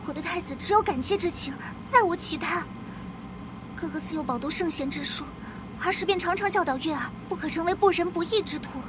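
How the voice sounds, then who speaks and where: Woman voicing a very high-pitched, earnest young noblewoman. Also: fairly quick. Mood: pleading, tender. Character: devoted, outspoken young noblewoman of an imperial court. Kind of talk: dramatic period animation dubbing. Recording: clear background noise behind voice.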